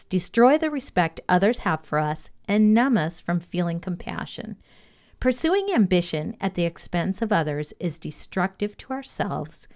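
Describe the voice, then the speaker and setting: A woman presenting a scripted motivational podcast lesson, reading aloud in a measured, deliberate, instructional way. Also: on the low side, a little mellow.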